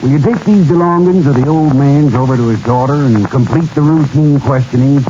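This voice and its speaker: An actor playing a plain-spoken, easygoing American small-town sheriff in a 1940s radio western, folksy and matter-of-fact. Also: male voice, medium pitch, a little fast, lo-fi sound, lightly acted. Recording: faintly noisy vintage radio broadcast.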